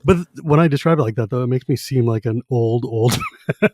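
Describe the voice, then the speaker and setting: A man's voice: medium pitch, a little fast, a little mellow, no room sound. A man in his thirties from California hosting a podcast, chatting casually in a rambling way with lots of filler words and easy laughter. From San Jose, California. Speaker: podcast host. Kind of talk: casual chat.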